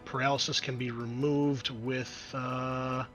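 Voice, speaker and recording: A man acting as game master, narrating the action and explaining rules to players in an animated way. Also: medium pitch, slow, muffled recording. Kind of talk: narrating and refereeing a tabletop roleplaying game. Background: background music.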